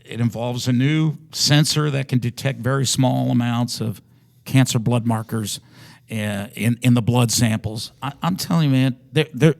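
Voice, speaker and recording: Guy cohosting a podcast, gravelly fry in his voice, folksy banter, casual chat. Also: medium pitch, moderate pace, a little mellow. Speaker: podcast co-host.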